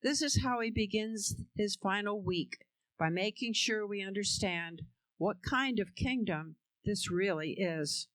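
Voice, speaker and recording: A woman's voice: medium pitch, unhurried, fairly bright tone, dry recording, low-quality recording. A woman giving an emphatic, rhythmic scripted reading. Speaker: reader.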